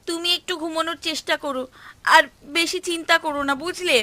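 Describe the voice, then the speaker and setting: A woman's voice: very high-pitched, steady tone, a little fast, bright, forceful tone. A woman voicing a fretting, scolding village housewife in a cartoon. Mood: worried, soothing. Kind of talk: cartoon voice acting.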